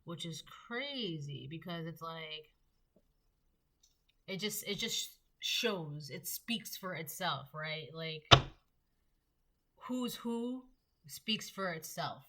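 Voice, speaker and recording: Stuffy-nosed woman with a bright voice. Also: low voice, expressive, slow pace, long pauses, a little hiss or hum. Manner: rambling, loose, with many fillers. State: stuffy nose. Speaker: tarot reader. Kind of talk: casual tarot reading.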